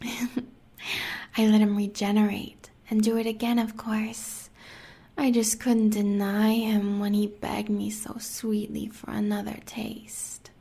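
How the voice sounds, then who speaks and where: An American woman playing a sadistic, adoring werewolf huntress in an audio roleplay, murmuring in a breathy, sweetly sinister way. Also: medium pitch, little pitch movement, slow pace, warm tone. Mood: sadistic delight.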